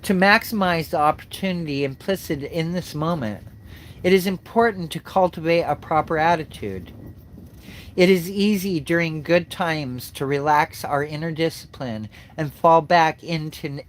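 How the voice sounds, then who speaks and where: Slow, stuffy-nosed man reading spiritual text. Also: on the high side, a little hiss or hum, poor audio. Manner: slow and halting, many fillers and restarts. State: stuffed up from a cold. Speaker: spiritual livestream host. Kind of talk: slow reflective spiritual talk and reading aloud.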